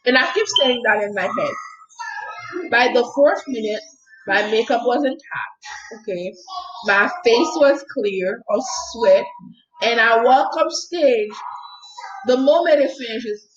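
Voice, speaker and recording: A woman healer giving an earnest motivational talk, telling a personal story in a soft, lilting voice and often checking in with "okay?". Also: a little high, animated, slow, long pauses, muffled recording. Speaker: spiritual healer and mindset coach.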